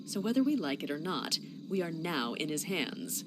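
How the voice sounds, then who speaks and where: A woman's voice: low-pitched, sing-song, moderate pace, noisy background. A woman playing a grave, pragmatic senior inquisitor in a fantasy game cutscene. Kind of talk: scripted video game cutscene acting.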